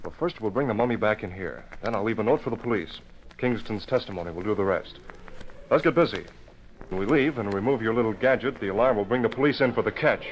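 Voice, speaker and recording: A man in an old-time radio drama playing a calm, decisive investigator who explains things deliberately. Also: lowish voice, lightly acted. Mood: explaining, decisive. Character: calm, decisive man solving a museum murder. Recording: muffled, noisy old radio recording.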